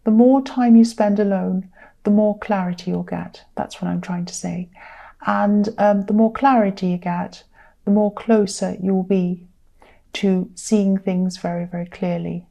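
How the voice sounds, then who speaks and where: A woman reads tarot for viewers in a mellow, warm-toned voice at an average pitch. She sounds tired and a little croaky. Her delivery is conversational and earnest, gently advising and circling back on phrases to stress them. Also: fairly even intonation, a little slow, muffled recording. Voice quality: slightly croaky voice. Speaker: tarot reader.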